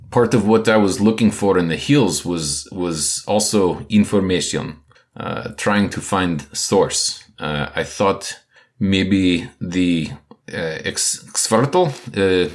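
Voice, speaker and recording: A man voicing a male villager who translates for another figure, speaking halting, broken English and dropping small words. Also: low-pitched.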